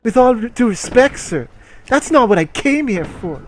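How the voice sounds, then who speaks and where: A man's voice: extremely high pitch, very animated, unhurried, soft background music, voice pushed up, heavily acted. A man voicing an indignant female employee, defiant and emphatic, in a comic story reading. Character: indignant young woman employee standing up to boss.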